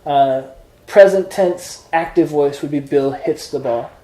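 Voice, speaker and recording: A man's voice: fairly high pitch, unhurried, warm tone, roomy sound, slight background noise, rough recording. A man teaching introductory Greek grammar in an informal lesson, explaining patiently step by step with examples. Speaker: Greek tutor.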